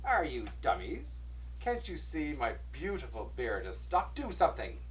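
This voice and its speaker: Guy doing a cranky little old dwarf from a fairy tale, shrieky n scolding, pitch all over, bit far from mic. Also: huge pitch swings, a little slow, a little hiss or hum. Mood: irritated, scolding. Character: tiny shriveled old male dwarf, bad-tempered, ungrateful. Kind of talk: fairy-tale storybook character voice.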